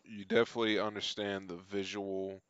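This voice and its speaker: Low-voiced man giving blunt reaction commentary. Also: flat delivery, normal speed, clean tone, dry recording, highs cut off. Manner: blunt, sweary. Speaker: reaction video host. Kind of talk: casual off-the-cuff reaction commentary.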